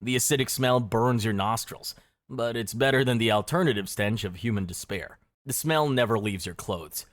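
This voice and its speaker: A man reading scripted second-person dramatic narration in a grim, measured storytelling manner. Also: medium pitch, a bit bright, dry recording.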